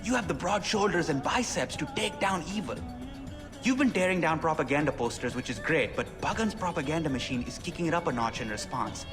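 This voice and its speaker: An actor playing an excitable young pirate-radio DJ in a video game, chattering fast with slang. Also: male voice, on the high side, natural voice. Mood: excited. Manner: fast, animated, self-promoting chatter with slang. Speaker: radio host character. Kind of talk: video game cutscene acting. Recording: game audio with music and hall reverb.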